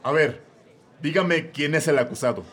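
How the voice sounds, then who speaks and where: A man's voice: on the high side, moderate pace, fairly bright tone, husky. A man with a Mexican accent voicing an irritable judge who barks orders in a comedy radio drama. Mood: exasperated. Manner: exasperated, barking orders. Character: irritable, bossy male judge.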